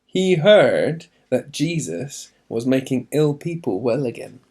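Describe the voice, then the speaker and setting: A man reading a children's storybook aloud in an expressive narrating style, with deliberate emphasis. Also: medium pitch.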